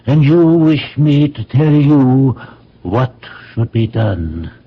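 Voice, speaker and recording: A man playing a stern, authoritative wise man of an ancient city in a radio drama, measured and weighty. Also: mid-range voice, big pitch swings, slightly rough, exaggerated voice. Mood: grave, stern. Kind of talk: 1970s radio drama acting. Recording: dull old radio recording.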